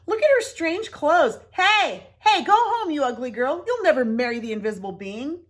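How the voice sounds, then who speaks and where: A woman voicing a crowd of villagers taunting a girl in a read-aloud storybook, loud and shrill. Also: big pitch swings, moderate pace. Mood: mocking. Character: jeering villagers mocking a girl.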